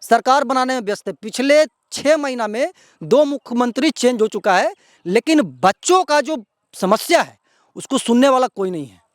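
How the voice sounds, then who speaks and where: A male local news presenter with a Bihari accent and a very high-pitched voice. He speaks straight to viewers in outspoken commentary, indignant and emphatic, hammering points through repetition. The sound is dry and close. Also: expressive, moderate pace, dry recording.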